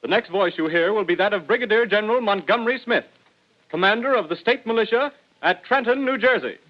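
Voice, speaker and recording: An actor playing a radio newsreader in a 1930s radio play, reading bad news gravely and formally. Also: male voice, sits high, lively intonation, a little fast. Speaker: announcer. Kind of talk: 1930s radio drama acting. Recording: dull old radio broadcast.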